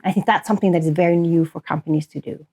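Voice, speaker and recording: A woman's voice: on the low side, fairly even intonation, very fast, dark tone. A woman in her thirties or early forties from northern Sweden, a native Swedish speaker, explaining things earnestly as an executive in a conversational interview. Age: 30s to early 40s. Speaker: corporate sustainability executive, interview guest.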